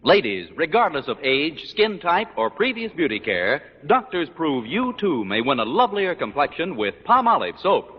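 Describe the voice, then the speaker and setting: A man's voice: fairly high pitch, big pitch swings, moderate pace, few pauses, breathy edge. A man delivering an emphatic, persuasive scripted commercial as a vintage radio announcer. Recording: muffled reverberant old radio recording.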